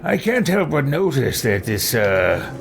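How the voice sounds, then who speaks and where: Guy doing a snooty scheming wizard for a game roleplay, slow n theatrical, voice swings all over. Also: medium pitch, very animated, vocal fry, heavy background noise. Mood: haughty, bemused. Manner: theatrical, pompous, deliberate. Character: pompous, scheming male mage trapped in another body. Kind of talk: in-character roleplay commentary on a video game.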